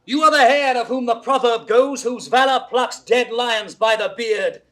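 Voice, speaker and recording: High, brash, taunting knight. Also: male voice, moderate pace, a bit bright. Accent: British English. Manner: jeering, swaggering. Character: brash, taunting young English knight, cocky and combative. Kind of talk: mid-century classical Shakespearean stage acting.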